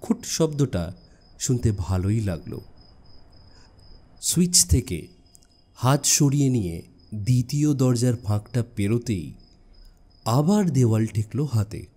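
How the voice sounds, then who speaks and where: A man narrating a scripted suspense story in a measured, dramatic way with deliberate pauses. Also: medium pitch, expressive, warm tone. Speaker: audiobook narrator.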